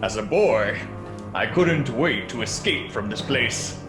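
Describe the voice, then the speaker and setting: A man playing a sinister, grandiose prodigal nephew in a radio drama, pompous and deliberate. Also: mid-range voice, expressive. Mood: smug, menacing. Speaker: radio play actor. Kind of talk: theatrical radio drama acting. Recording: roomy echo with faint music.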